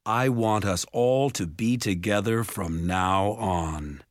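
A gentle father in a storybook, read by a man. He has a lowish voice and coaxes apologetically, with an earnest, sincere-sounding manner. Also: takes it slowly, no room sound, clean studio sound. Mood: coaxing.

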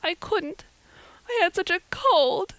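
A talkative young orphan girl from a storybook. The voice sits very high and pleads with earnest, breathless melodrama. The recording is close and dry. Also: animated, fairly quick, dry recording. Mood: sobbing despair.

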